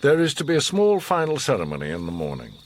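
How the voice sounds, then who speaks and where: An actor playing a grave, commanding, enigmatic older war survivor in a radio drama, speaking in a measured, solemn, philosophical way. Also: male voice, mid-range voice, wildly swooping pitch, slight background noise. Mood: coldly dismissive. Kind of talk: radio drama monologue and dialogue.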